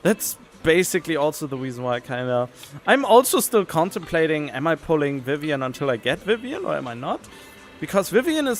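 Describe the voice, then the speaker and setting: A man streaming a game with casual, rambling commentary, thinking aloud as he chats. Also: fairly high pitch. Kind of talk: casual chatty livestream commentary.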